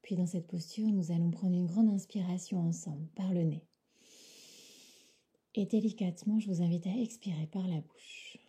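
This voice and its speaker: Soft, breathy woman guiding deep relaxation. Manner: soft, breathy, often near whisper; calm, soothing, unhurried phrasing. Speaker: yoga nidra guide. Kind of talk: guided relaxation meditation.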